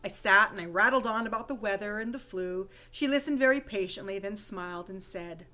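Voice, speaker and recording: A woman reading a rhyming holiday story poem aloud with expressive, dramatic storytelling emphasis. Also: medium pitch, fairly quick. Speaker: poem reader.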